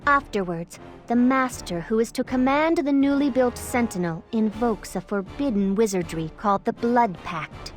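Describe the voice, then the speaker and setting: A woman's voice: a little high, lightly acted. A woman playing a stern, dutiful bodyguard and soldier in a video game, speaking formally and exactingly. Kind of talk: video game cutscene voice acting.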